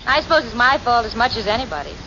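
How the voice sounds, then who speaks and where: A sardonic, sensible young woman speaks in a high, swooping voice with long-suffering patience. It is 1940s radio comedy on a muffled, noisy recording. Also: very animated, quick pace. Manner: wry, exasperated, crisply articulate. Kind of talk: 1940s radio sitcom acting and narration.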